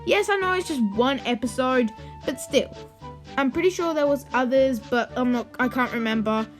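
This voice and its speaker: Casting: high-voiced host for casual ranking commentary, chatty asides, music underneath. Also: normal speed. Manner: informal, conversational asides. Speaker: video host. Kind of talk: opinion commentary, ranking video.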